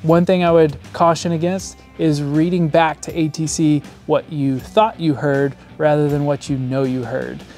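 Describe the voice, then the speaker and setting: A man in his thirties presents a lesson as an instructor, plain-spoken and explanatory. His voice is mellow and sits a little higher than average. He is miked close indoors, with some music behind him. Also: fairly even intonation, unhurried, hardly any pauses. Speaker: flight instructor. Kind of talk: scripted instructional presentation to camera. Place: indoor studio set, seated. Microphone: lapel mic.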